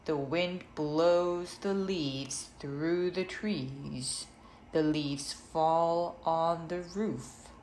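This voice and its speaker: A woman acts out an exasperated man from a children's storybook. He complains slowly and emphatically, with a plaintive edge, in a roomy, echoing space. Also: low voice, darkish tone. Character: exasperated man bothered by a noisy house. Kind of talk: storybook character reading. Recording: roomy echo, faint noise.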